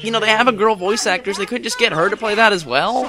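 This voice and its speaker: A man's voice: sits high, fast talker, crowd noise. A young man giving casual, mocking reaction commentary in an exasperated, sarcastic manner. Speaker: commentator reviewing an amateur anime parody. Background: soundtrack of a loud anime video.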